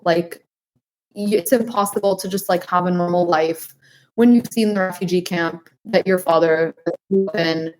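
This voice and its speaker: An earnest young woman from New Hampshire answers interview questions, her speech full of "like" and "um". Her voice sits a little low for a woman. She talks with steady conviction. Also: low-quality recording. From New Hampshire, United States. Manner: earnest, uses many fillers like "like". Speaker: guest.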